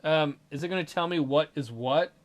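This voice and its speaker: A man's voice: fairly high pitch, normal speed. A man doing casual game commentary and reading the on-screen story aloud, with a joking, sarcastic manner. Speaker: let's player.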